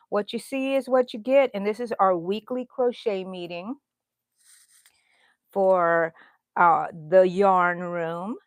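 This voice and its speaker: A woman's voice: medium pitch, dry recording. A middle-aged woman from Houston, chatting loosely with her livestream viewers while she crochets and answers their comments. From Houston, Texas. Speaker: crochet channel host.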